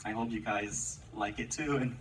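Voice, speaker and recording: A man's voice: lowish voice, normal speed, fairly bright tone. A man, an actor on a stage panel, answers questions in a casual, slightly awkward and fidgety way and laughs often. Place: large theater, Q&A on stage. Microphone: audience member's camera from the seats. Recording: distant, noisy audience camera recording.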